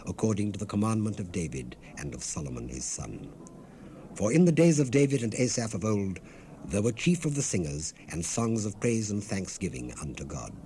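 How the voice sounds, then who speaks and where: A man with a low voice reads scripture as a solemn narrator, formal and measured. His tone is dark and soft-edged, and each phrase settles downward at its close. It comes from an old cassette with light hiss. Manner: measured, formal, phrases falling away at the end. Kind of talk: solemn dramatized Bible reading. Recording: hissy 1970s cassette rip.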